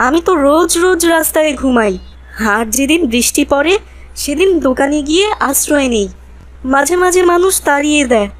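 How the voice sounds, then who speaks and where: A woman voicing a humble homeless boy of about twelve in a cartoon, earnest and plaintive in a childlike way. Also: high-pitched. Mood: eager, hopeful. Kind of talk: cartoon voice acting.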